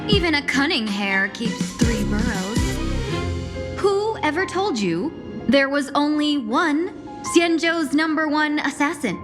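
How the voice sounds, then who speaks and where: A woman's voice: high-pitched, animated, slow pace. An actress playing a sly young fox woman with a playful, theatrical, bluffing way of talking, in a game. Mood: playfully boastful. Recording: game audio with music. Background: game music.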